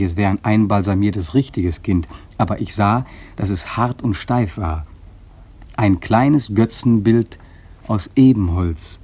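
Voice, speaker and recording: An actor playing a wary, earnest seafarer telling his tale in a German radio play, husky and measured. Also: on the low side, dark tone, heavily acted. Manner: measured, suspenseful storytelling.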